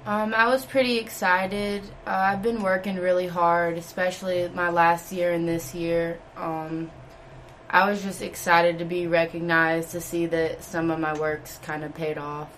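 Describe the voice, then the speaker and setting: A woman's voice: fairly low pitch, little pitch movement, a little slow, fairly bright tone, slight background noise, rough recording. A young woman from North Carolina, a college volleyball player giving brief, modest interview answers. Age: young adult.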